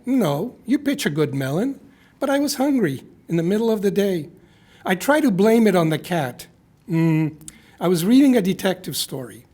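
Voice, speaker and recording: A man with a somewhat high-pitched voice reads at a live poetry event. He speaks in a conversational, wry manner, moving easily between informal storytelling and verse. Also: a little mellow. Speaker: poet reading at a reunion event.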